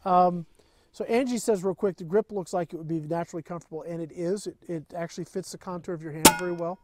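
A middle-aged man hosting a casual live product chat, relaxed and chatty, reading out viewer questions, in an open tent at an outdoor range. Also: high voice, fast, crowd noise. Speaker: livestream host.

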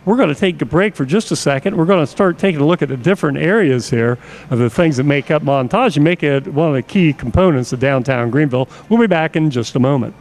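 A man's voice: a little high, lively intonation, quick pace, darkish tone. An older American man hosting a casual on-location interview into a handheld microphone. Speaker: interviewer, show host. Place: indoors in a cafe, behind the counter. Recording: clear background noise on location.

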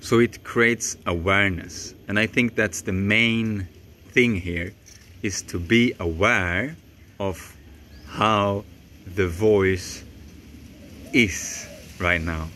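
Slow, calm young Swedish man teaching. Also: fairly low pitch, slight background noise. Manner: slow, calm, deliberate with long pauses. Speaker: voice coach. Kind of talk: teaching to camera. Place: outdoors in a tropical garden.

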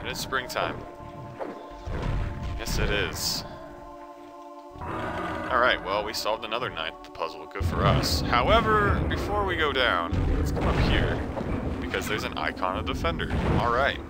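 A young man with a slightly low voice talks through his gameplay in a loose, joking way, sounding a little congested. Loud game music plays behind him the whole time. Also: big pitch swings, a little slow, pauses often. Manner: joking, self-talking. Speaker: let's play commentator. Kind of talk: casual gameplay commentary.

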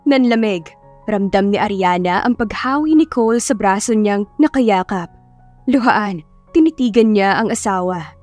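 A woman's voice: mid-range voice, expressive, moderate pace, darkish tone. A woman narrating a scripted romance story in a dramatic audiobook style. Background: music.

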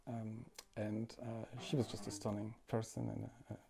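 A man in his forties from France, a musician talking thoughtfully at home, with many pauses, fillers and restarts. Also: lowish voice, monotone, creaky. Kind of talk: reflective interview talk. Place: living room at home.

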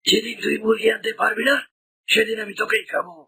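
A performer voicing a hungry, bullying tiger in a children's cartoon, scolding and menacing in a breathy, rasping voice. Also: medium pitch, level tone, fast talker, sharp tone, dry recording, exaggerated voice.

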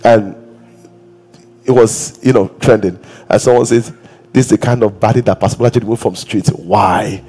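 Nigerian pastor, 30s, husky, animated stage preacher, faint music behind. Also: male voice, on the low side, normal speed. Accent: Nigerian English. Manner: animated, acts out quoted voices, breaks into singing and shouting. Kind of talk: sermon. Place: large church stage.

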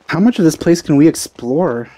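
A man's voice: a little high, lively intonation, normal speed, dark tone. A man giving casual commentary as he plays a video game. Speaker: let's player. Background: game music.

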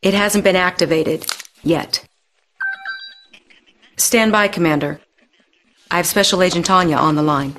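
An actress playing a young, composed military officer, giving a serious, clipped game briefing. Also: female voice, fairly low pitch, a little slow, long pauses, a bit bright, a little hiss or hum. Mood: grave.

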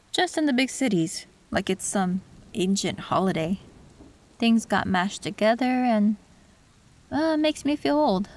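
A woman playing a soft-spoken, wistful tech girl. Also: mid-range voice. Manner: soft, soothing, reflective with small laughs. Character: gentle young woman tech fixer, wistful. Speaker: voice actor. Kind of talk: audio roleplay.

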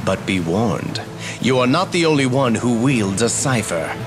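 An actor playing a menacing, grandiose lord in a fantasy video game, speaking ominously and deliberately. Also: male voice, mid-range voice, expressive, over music, natural voice. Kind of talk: scripted video game voice acting.

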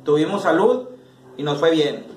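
Mexican guy doing a cooking vid in his kitchen, kinda high voice, on the camera mic. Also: moderate pace. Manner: relaxed, talks straight to camera while cooking. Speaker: cooking channel host. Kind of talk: casual cooking tutorial. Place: small home kitchen, indoors. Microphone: camera mic, medium distance. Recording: echoey kitchen with faint noise.

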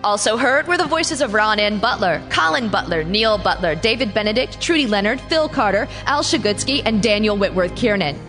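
A woman's voice: mid-range voice, animated, fairly quick, hardly any pauses, fairly bright tone. Theatrical woman announcer, music under. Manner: theatrical, playful. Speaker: show announcer. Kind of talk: scripted credits announcement.

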